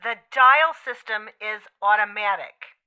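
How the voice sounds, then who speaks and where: A woman's voice: mid-range voice, unhurried, smooth, phone-quality sound, lightly acted. An actress playing a prim, unflappable female switchboard operator in a 1940s-style radio thriller, formal and clipped. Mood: formal, unyielding. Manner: formal, clipped, scripted politeness.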